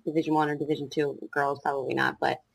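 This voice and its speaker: A young woman with a slight New Jersey accent talks as a guest on a podcast, pausing often with ums and restarting her sentences. Her voice sits low for a woman. The sound is dry and close, with no room behind it. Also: flat delivery, creaky, dry recording, low-quality recording. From South Jersey, USA. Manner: hesitant, many ums and restarts. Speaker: guest lacrosse coach. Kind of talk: casual interview chat.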